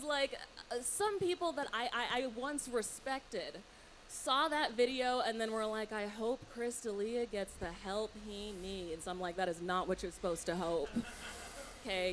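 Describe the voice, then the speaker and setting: A woman's voice: medium pitch, measured pace, slight background noise, low-quality recording. A woman comedian performing a stand-up set on a small stage with a handheld mic, biting and sarcastic. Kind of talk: stand-up routine. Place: small stage with curtain backdrop.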